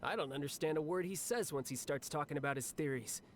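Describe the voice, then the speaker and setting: An actor playing a cocky, cheerful young warrior officer in a video game, speaking in a breezy, confident, conversational way. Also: male voice, a little high, fast, a little hiss or hum, lightly acted. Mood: wry, amused. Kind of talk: scripted video game character monologue.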